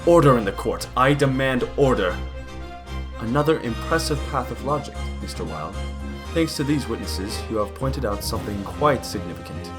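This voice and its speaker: A man's voice: mid-range voice, lively intonation, music in the background, natural voice. Man playing a stern, formal courtroom judge. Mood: commanding. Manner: commanding, measured, formal. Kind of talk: audio drama acting.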